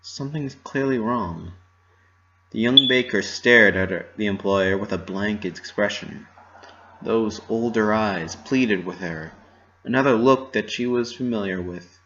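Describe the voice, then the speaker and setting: Guy doing dramatic story narration, lowish voice, slightly muffled lo-fi mic. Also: a little slow, a bit bright, a little hiss or hum.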